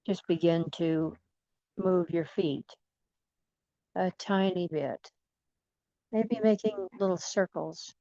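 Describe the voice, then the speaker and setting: A woman leading guided imagery in a soothing, gentle manner, leaving long pauses between phrases. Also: lowish voice, steady tone, dry recording, muffled recording. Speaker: guided meditation leader.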